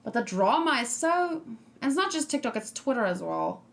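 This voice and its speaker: A woman's voice: fairly high pitch, very animated, moderate pace, vocal fry. Young adult woman streamer, casual reaction chat, frank and rambling, plenty of fillers. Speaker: streamer reacting to a game trailer.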